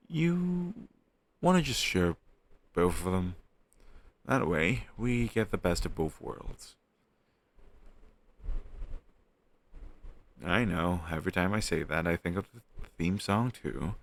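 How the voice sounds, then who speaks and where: A man acting as a playful, teasing young best friend in a casual, expressive roleplay chatting directly to the listener. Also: low voice, gravelly, heavily acted. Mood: enthusiastic, relaxed. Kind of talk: roleplay audio drama, intimate casual chat with listener.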